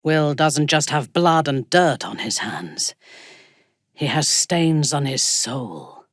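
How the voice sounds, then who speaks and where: An actress playing a haughty, ruthless female drow elf in a fantasy video game, speaking in a low, husky, measured and coldly menacing voice. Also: expressive, dry recording, clean studio sound, full character voice. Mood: haughty musing. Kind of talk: scripted fantasy game voice acting.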